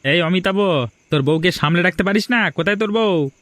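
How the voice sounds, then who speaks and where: A man's voice: high voice, a little fast, a bit bright, dry recording. An actor playing a domineering, scheming older village father-in-law in a cartoon drama, scolding and threatening. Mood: stern, menacing.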